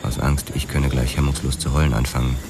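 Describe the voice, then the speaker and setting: Very deep-voiced hard-boiled policeman narrator. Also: flat delivery, a little fast, background noise. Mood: grim, restrained. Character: weary, hard-boiled policeman narrating his own past. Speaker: first-person narrator. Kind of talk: scripted crime radio drama narration. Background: music.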